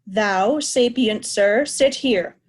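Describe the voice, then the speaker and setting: A woman's voice: on the high side, takes it slowly, slightly rough. A woman playing a mad, commanding old king in a Shakespeare reading. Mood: mad, imperious. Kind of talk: remote reader's theatre Shakespeare.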